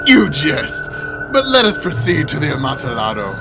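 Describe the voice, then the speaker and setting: An actor in an audio drama playing a vain, excitable, tipsy nobleman who stammers and blusters through theatrical exclamations. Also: mid-range voice, moderate pace, music in the background. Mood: jovial, haughty.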